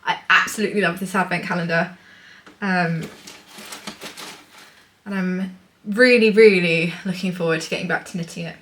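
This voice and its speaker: Woman, 30s, casual vlogger, bright sharp tone, rambling chat. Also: on the low side, steady tone, normal speed, pauses often. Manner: chatty, rambling, upbeat. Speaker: knitting vlogger. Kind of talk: casual chatty vlog.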